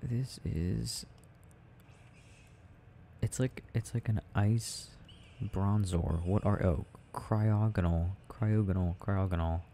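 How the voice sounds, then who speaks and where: A man with a mellow, gravelly voice rambles through a video game in an ASMR style. He muses to himself haltingly and takes his time, with slight noise behind him. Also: on the low side. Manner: murmured, halting, musing to himself. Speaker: audio performer playing a boyfriend gaming.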